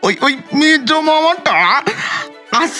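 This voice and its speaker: Man acting a high-pitched, flustered young son-in-law. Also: lively intonation, takes it slowly, pushed and bright, faint music behind, heavily acted. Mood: wailing, frightened. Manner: whining, flustered, stammering. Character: gluttonous, bumbling young son-in-law feigning refined manners. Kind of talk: comic audio drama acting.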